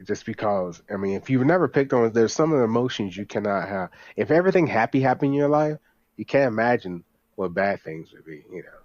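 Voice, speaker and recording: A man with a fairly low voice speaks as an eager podcast guest in casual chat. He starts and stops, repeating himself as he goes. The recording is dry and somewhat dull, with a lo-fi sound. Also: lively intonation, fairly quick, darkish tone, no echo.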